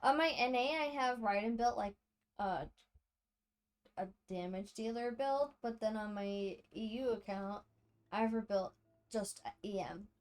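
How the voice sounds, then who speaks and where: A woman's voice: a little high, a little slow. A woman livestreamer giving casual, chatty gameplay commentary to her viewers, speaking off the cuff. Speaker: game livestreamer.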